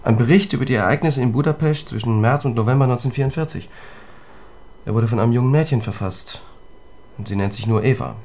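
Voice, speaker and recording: An actor playing a sober scholarly man in a German radio drama, recounting a tragic history deliberately and precisely. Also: mid-range voice, a little mellow, natural voice. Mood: sober, informative. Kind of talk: German radio drama dialogue, explanatory storytelling. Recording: studio radio play with music.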